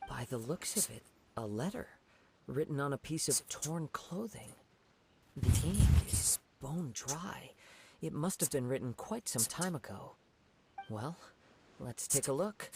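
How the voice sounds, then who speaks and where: A man voicing a calm young male wandering samurai in a video game, speaking in a measured, thoughtful and formal way. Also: mid-range voice, vocal fry, background noise.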